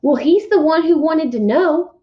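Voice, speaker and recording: A woman's voice: high-pitched, lively intonation, moderate pace, dull audio, voice pushed up. A woman voicing an exasperated, sarcastic sixth-grade boy who grumbles emphatically in a children's storybook read-aloud. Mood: annoyed.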